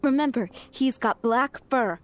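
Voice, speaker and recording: A woman's voice: fairly high pitch, a little slow, a little hiss or hum, lightly acted. A woman voicing a friendly, helpful young townswoman in a video game.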